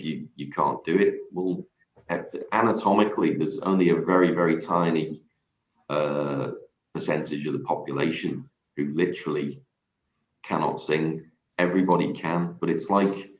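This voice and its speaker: A man with a deep voice, chatting casually as a guest singer, modest and rambling with many restarts. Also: roomy sound, dull audio. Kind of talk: casual radio chat.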